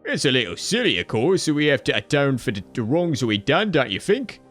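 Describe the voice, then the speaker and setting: A man's voice: mid-range voice, big pitch swings, fairly quick, fairly bright tone, soft background music. A man voicing a friendly undead skeleton laborer who talks in a folksy, slangy, rambling way.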